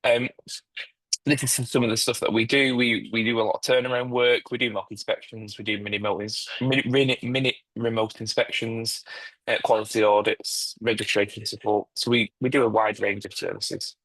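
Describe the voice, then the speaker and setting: A man with a broad Manchester accent presents over a video call. His voice sits low and stays flat, with little rise and fall. The sound is dry and close, and he fills his pauses with plenty of ums and restarts. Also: no echo, low-quality recording. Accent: Manchester English. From England. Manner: hesitant, many ums and restarts. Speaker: guest speaker, quality consultant. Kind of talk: presentation in an online meeting.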